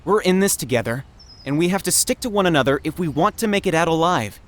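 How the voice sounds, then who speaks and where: A man's voice: on the high side, lively intonation, fast talker, voice pushed up, lightly acted. A man voicing a naive, earnest male android companion in a visual novel, literal and eager in manner. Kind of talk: visual novel dialogue read aloud.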